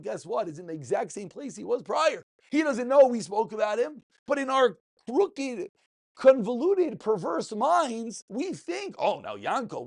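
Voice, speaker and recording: A man's voice: very high-pitched, creaky. A man giving a passionate, informal religious lesson as a rabbi, emphatic, full of rhetorical questions and repeated phrases.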